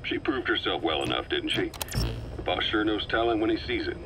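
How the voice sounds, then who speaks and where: An actor playing a calm, calculating middle-aged military officer in a video game, speaking with measured, persuasive assurance. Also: male voice, on the low side, noisy background, natural voice. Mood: assured, reasoning. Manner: measured, assured, reasoning patiently. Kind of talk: dramatic game voice acting.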